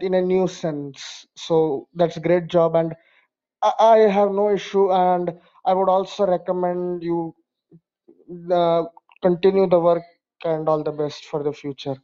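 A man with an Indian accent, speaking as a caller and leaving a measured personal message. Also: high voice, mellow. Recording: dull lo-fi voicemail.

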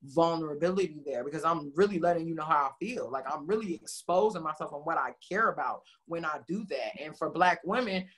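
A woman with a deep, heavy voice speaking passionately as a guest in a casual interview over a video call, full of "like" and "you know". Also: a little fast, few pauses, slightly rough, poor audio. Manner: passionate, expressive, many "like" and "you know" fillers.

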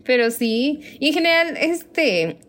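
A woman's voice: high-pitched, big pitch swings, takes it slowly, a bit bright. Chatty young Mexican woman, laughing often. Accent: Mexican Spanish. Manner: chatty, laughs often, acts out her own reactions in quotes. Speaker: podcast co-host. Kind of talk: casual chat.

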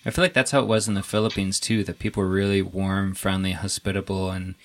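A young man interviewing a guest in a casual, relaxed way, often restarting his sentences and chuckling. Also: low voice, quick pace.

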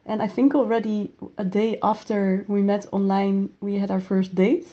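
A woman's voice: medium pitch, little pitch movement, normal speed, dark tone, highs cut off. A woman with a Dutch accent, casually sharing personal stories as an interviewee. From Netherlands. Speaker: interviewee sharing her relationship story.

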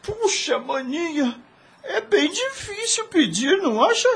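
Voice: big pitch swings, a little slow, full character voice. An adult performer playing a poor, tender-hearted little brother in a radio drama, childlike and plaintive. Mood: shy, sincere. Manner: childlike, plaintive, high-voiced.

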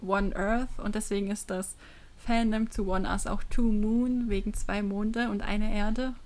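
A woman's voice: medium pitch, steady tone, unhurried, a little mellow. Casual woman co-host mixing in English slang. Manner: peppers German with English slang. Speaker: podcast co-host. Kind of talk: casual fan chat about pop music.

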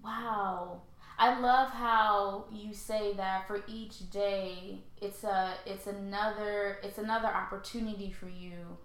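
Bright-voiced American woman hosting in echoey room. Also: medium pitch, fairly even intonation, slow pace. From born in Haiti, raised in St. Louis, Missouri, USA. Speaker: podcast host. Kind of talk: conversational interview. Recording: roomy, echoey sound.

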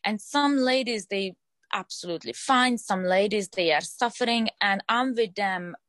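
A woman's voice: mid-range voice, moderate pace, a bit bright, dry recording. Hungarian-accented woman in her twenties, dry sound. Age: 20s. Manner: earnest, searching for words, repeats phrases. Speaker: fitness trainer. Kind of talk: interview answers.

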